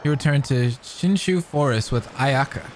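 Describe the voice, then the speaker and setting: A woman doing casual game reaction commentary and reading dialogue aloud, playful and expressive. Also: extremely low pitch, moderate pace. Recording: game music behind the voice.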